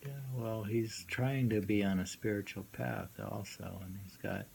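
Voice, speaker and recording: A low-pitched man reads poetry and comments on it casually at an unhurried, very slow pace. His delivery halts and circles back over phrases, with a gravelly fry in the voice. There is some light noise in the homemade recording. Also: darkish tone. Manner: halting, repeats words and phrases. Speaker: reader and host.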